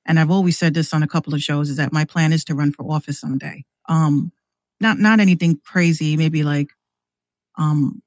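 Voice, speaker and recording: An American woman chatting casually and candidly in a slangy, animated way, acting out exchanges as she tells stories. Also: low-pitched, normal speed, dry recording. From New York City, US. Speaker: podcast guest, rapper and radio show host. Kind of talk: casual conversational storytelling.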